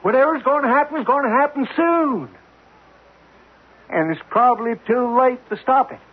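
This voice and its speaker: A scared fatalistic rig hand, high husky voice, anguished radio drama on a narrow old recording. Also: male voice, lively intonation, normal speed, exaggerated voice. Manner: anguished, pleading. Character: frightened, fatalistic rig worker refusing to know his fate.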